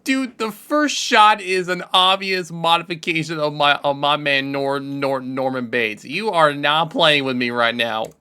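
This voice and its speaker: A man hosting a reaction video with casual, slangy commentary, moving between animated exclamations and muttering. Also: fairly high pitch, unhurried, few pauses, very noisy. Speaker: YouTube reaction host.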